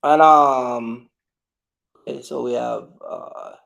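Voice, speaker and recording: A man hosting a casual live question-and-answer chat, speaking in a halting, repetitive way and often addressing his audience directly. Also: mid-range voice, steady tone, creaky, a bit bright, muffled recording. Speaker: livestream host.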